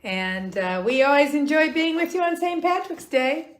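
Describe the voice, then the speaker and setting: A woman's voice: high-pitched, sing-song, normal speed. A middle-aged woman hosting a casual family livestream, chatty and upbeat. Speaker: host. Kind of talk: casual chat.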